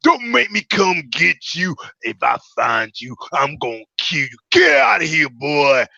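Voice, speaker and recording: A man acting a menacing, gruff father who barks threats at his son in a radio drama. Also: on the high side, big pitch swings, a little slow, pushed and bright, dry recording, highs cut off, pitched above the performer's own voice, full character voice. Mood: threatening, angry. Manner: threatening, barking.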